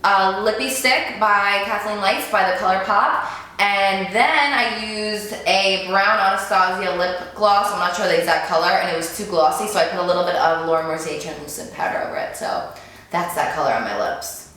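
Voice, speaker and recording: A woman's voice: mid-range voice, normal speed, sharp tone. A woman in her twenties, a beauty vlogger, chatting casually to camera and answering viewer questions. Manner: chatty, gushing, many "like"s and "um"s. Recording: very roomy echo.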